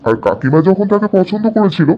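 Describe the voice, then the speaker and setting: Man playing an eager, high-voiced young bachelor. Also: sing-song, fairly quick. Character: eager, confident young bachelor seeking a bride. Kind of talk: cartoon dialogue. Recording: dull, muffled audio.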